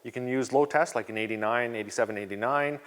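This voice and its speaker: A Canadian man chatting through viewer questions in a relaxed, easygoing tone at a middling pitch. His voice trails off into a long reverb, as if he's speaking in a big garage. He fills his pauses with casual ums and uhs. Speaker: channel host answering viewer questions. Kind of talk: casual Q&A vlog.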